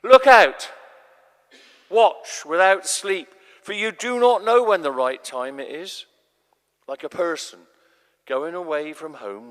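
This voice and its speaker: A man performs a grave, urgent male prophet in a live scripture drama, each phrase weighty and deliberate. The voice sits a little high and moves dramatically up and down, with long reverberation from a large church around it. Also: fairly bright tone. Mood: urgent warning. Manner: deliberate, solemn, weighty phrasing with swelling emphasis.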